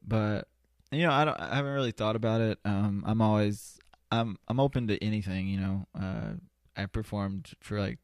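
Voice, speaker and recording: A man's voice: lowish voice, moderate pace, hardly any pauses, no room sound, clean studio sound. A man answering as a podcast guest in a casual, rambling, hesitant way with lots of "you know". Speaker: podcast guest, spoken word poet. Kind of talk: casual conversational interview answers. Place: indoor studio.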